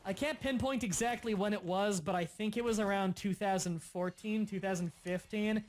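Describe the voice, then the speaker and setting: Man with a slight stutter. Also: high voice. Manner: stutters at times, quick explainer tone. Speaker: livestream host. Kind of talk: casual gaming stream chat.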